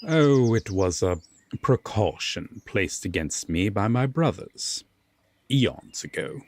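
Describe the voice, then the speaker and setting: Guy acting as a broody fae lord, super slow n formal, kinda low voice, dramatic pauses, polite but guarded. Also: animated, a little mellow. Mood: apologetic, courteous. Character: brooding, courteous male Fae lord, guarded, reluctantly kind. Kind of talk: roleplay audio drama monologue.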